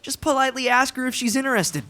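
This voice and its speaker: A man's voice: very high voice, quick pace, bright, forceful tone, slight background noise. A man playing an anxious, exasperated teenage schoolboy in a fan-dubbed drama, nervy and hesitant. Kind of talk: animated fandub drama acting.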